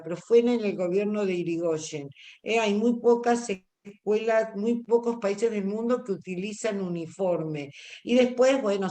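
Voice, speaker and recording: A woman leads an online class, explaining patiently in a mid-range voice. She pauses now and then to ask if the students understand. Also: mid-range voice, dark tone. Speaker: teacher.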